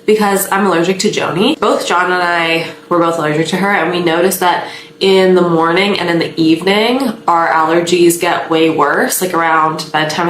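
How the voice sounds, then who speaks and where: A woman's voice: on the low side, fairly bright tone, a little hiss or hum. Sniffly woman chatting at home. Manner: rambling, chatty, upbeat asides. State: sniffly, slightly sore throat. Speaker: vlogger. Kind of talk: casual chat to camera. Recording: echoey room.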